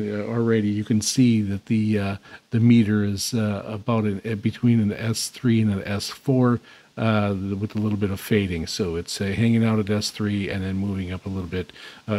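A man giving an unscripted hands-on demonstration as host, speaking haltingly with very frequent uhs. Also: lowish voice, darkish tone. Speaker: host demonstrating shortwave antennas. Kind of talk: unscripted product demonstration commentary. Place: indoors at a radio desk.

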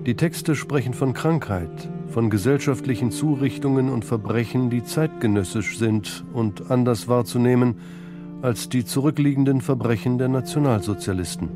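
A man reading scripted documentary narration for a radio feature in a measured, sober, literate manner. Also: mid-range voice, over music.

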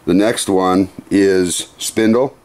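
Middle-aged guy in a workshop, real low voice, takes his time explaining each button. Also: fairly even intonation, darkish tone. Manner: unhurried, methodical, plain-spoken with small restarts. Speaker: CNC machine demonstrator. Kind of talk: hands-on equipment demonstration. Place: workshop beside a CNC router.

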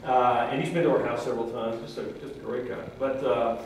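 A man's voice: medium pitch, fairly even intonation, recorded from a distance, slight background noise. Man speaking from across an echoey gallery room. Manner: chatty, anecdotal, quick to laugh. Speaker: print collector on a panel. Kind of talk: casual panel discussion, storytelling. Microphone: far camera.

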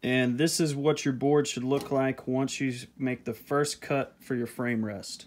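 A man talks through each cut in his home workshop, recorded on a camera mic close to the table saw. He speaks plainly at a middle pitch, repeats the numbers and checks in with "okay?" after each step. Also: steady tone, unhurried, a little hiss or hum. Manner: plain, repeats measurements, ends steps with "okay?". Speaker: beekeeping video host. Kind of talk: step-by-step woodworking instruction.

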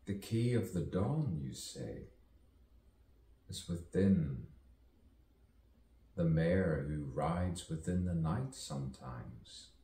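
A man performing a theatrical poetic soliloquy full of wordplay, musing dramatically and drawing his words out with long pauses. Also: a bass voice, animated, vocal fry, dark tone. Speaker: poet performing own monologue. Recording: roomy, distant mic, faint background noise.

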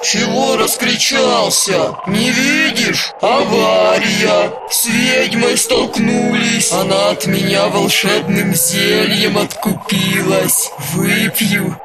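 A man voicing an irritable, vain she-dragon in a cartoon, grumpy and given to shouting. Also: high voice, level tone, takes it slowly, hardly any pauses. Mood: irritated. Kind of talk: animated cartoon acting. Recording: loud music behind the voice.

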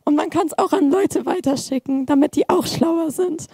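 A hoarse teenage girl giving a halting live demo on a handheld mic at a youth event. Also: high voice, warm tone, no echo, clean studio sound. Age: teens. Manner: hesitant, many fillers. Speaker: student presenter. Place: event hall, audience present.

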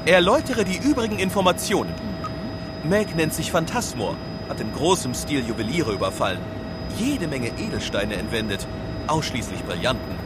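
A man's voice: big pitch swings, normal speed. An actor playing a quirky, dutiful police officer in a children's radio play, announcing his own actions in clipped, telegraphic phrases. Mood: matter-of-fact. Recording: loud vehicle rumble behind.

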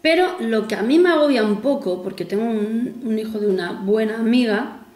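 A woman's voice: on the high side, moderate pace, a little mellow. A woman, chatting casually to camera in a rambling way with self-mocking asides. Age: 40s. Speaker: vlogger. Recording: echoey room with faint music.

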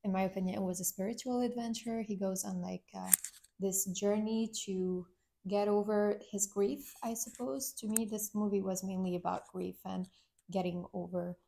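A woman's voice: mid-range voice, steady tone, moderate pace, vocal fry, mellow, a little hiss or hum. A young woman co-hosting a casual film review, explaining the story in a chatty way with fillers.

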